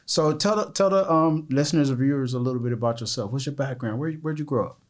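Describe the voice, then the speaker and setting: A man's voice: mid-range voice, fast talker. Man, podcast host, casual interview, playful, slangy, repeats words.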